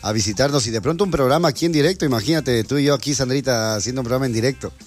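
A man's voice: mid-range voice, rapid-fire, soft background music. A man hosting a radio show, effusive and sing-song, with frequent fillers and restarts. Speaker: radio host.

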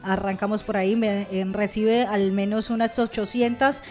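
High-voiced Colombian woman radio host. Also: fairly even intonation, normal speed, a little mellow. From Colombia, Cali. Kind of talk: live radio presenting, news update. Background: music behind the voice.

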